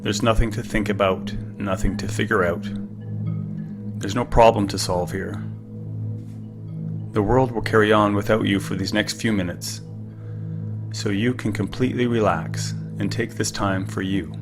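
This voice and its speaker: A man leading a guided meditation in a calm, unhurried, gently instructive way. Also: on the low side. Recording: music behind the voice. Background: music.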